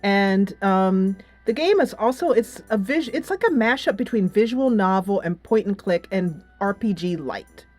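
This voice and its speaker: A woman's voice: medium pitch, expressive, a little slow, hardly any pauses, darkish tone, no room sound. A woman hosting a casual game review, talking in a chatty, loosely structured, emphatic way. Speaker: game reviewer and channel host. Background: music.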